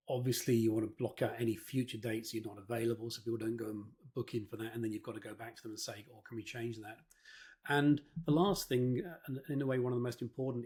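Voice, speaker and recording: A man speaks at a middling pitch in an informal instructional style. He sounds like a consultant talking through practical advice. His delivery is hesitant, with words often repeated mid-phrase. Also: darkish tone. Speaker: presenter, marketing consultant.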